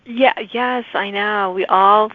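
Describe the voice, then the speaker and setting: A woman podcast host chats casually with a guest in an enthusiastic way. Her voice sits at a middle pitch, recorded dry with hardly any room around it, and slightly band-limited like a phone call. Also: fairly even intonation, slow pace, no echo. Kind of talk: casual interview chat.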